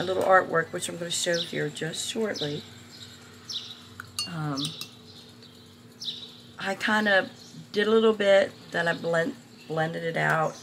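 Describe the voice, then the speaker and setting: Slow hoarse woman, raspy and gravelly. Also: fairly low pitch, noisy background. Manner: slow, rambling, many fillers and restarts. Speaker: art channel host. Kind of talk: casual art supply review.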